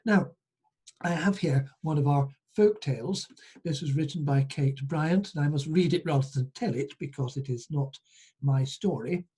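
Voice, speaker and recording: A man with a soft, mellow voice that has a husky grain to it, pitched a little high for a man. He hosts a reading, speaking informally and reading a story aloud. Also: normal speed. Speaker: host and storyteller at a writers' group reading.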